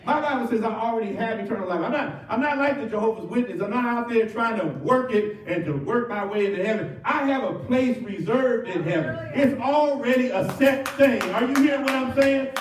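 High-voiced pastor preaching in a roomy church. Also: male voice, fairly quick, few pauses, distant mic. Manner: animated call-and-response preaching. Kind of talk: sermon. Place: church sanctuary with cross wall.

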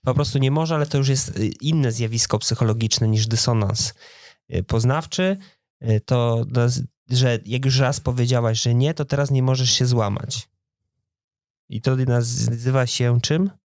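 A man's voice: mid-range voice, steady tone, unhurried, a little mellow, no room sound, clean studio sound. A man in his thirties co-hosting a podcast, explaining things conversationally with small hesitations in casual chat. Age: 30s. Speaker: podcast co-host.

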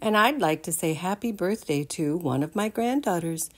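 An older woman giving an expressive read-aloud storytime for young children, in a deliberate, animated manner. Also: fairly low pitch. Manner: deliberate, animated, addresses the listeners with questions.

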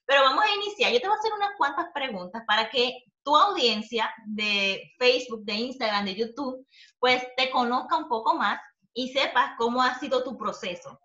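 A woman's voice: high voice, lively intonation, moderate pace, bright, forceful tone. A young adult woman hosting an upbeat, conversational interview as a coach over a video call from a room at home. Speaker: host, coach. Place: small home room, indoors. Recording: muffled, roomy video call audio.

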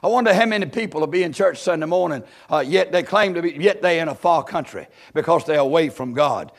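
A man's voice: a little high, lively intonation, fairly quick, gravelly. A man preaches. He expounds scripture to a congregation in emphatic, repeating cadences, stopping to put rhetorical questions to his listeners. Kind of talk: sermon, expounding Bible verses to a congregation.

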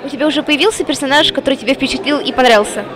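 A woman's voice: high-pitched, rapid-fire. A woman working as a TV reporter, presenting and asking questions on location in a cinema foyer. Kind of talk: on-location vox-pop interviewing. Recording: busy public hall with crowd.

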